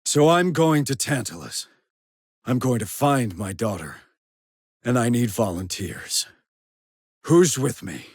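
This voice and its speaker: A weary, determined sergeant in game drama. The voice is husky, medium in pitch and varied in melody, earnest and resolute, recorded dry. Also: male voice, big pitch swings, slow, pauses often, no room sound, heavily acted. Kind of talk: video game cutscene acting.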